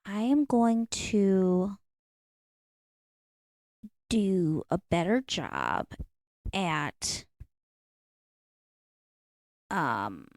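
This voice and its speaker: A woman's voice: medium pitch, a little mellow, no room sound. A woman in her late thirties co-hosting a podcast, playfully defending herself and talking earnestly in casual chat at home. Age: 30s. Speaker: podcast co-host. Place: apartment room, recorded together in person.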